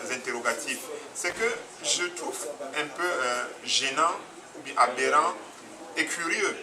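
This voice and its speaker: A man, his voice at an ordinary middle pitch, comments off the cuff with frequent hesitations and restarts. He is picked up from a distance in a hall, and a crowd is clearly audible around him. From Cameroon. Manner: hesitant, many fillers and restarts, earnest. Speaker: audience member praising a documentary. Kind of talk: spontaneous public comment. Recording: distant mic with crowd noise.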